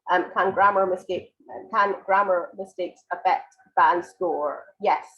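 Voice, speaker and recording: A woman teaching an online IELTS writing lesson, croaky and congested from a cold, explaining patiently with many ums and restarts. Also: fairly low pitch, fairly even intonation, a little slow, lo-fi sound. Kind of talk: live online lesson, answering viewer questions.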